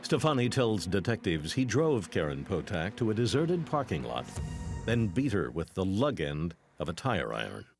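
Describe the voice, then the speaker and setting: A man narrating a true-crime documentary in a scripted, dramatic and deliberate style. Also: sits low, sing-song, creaky, over music.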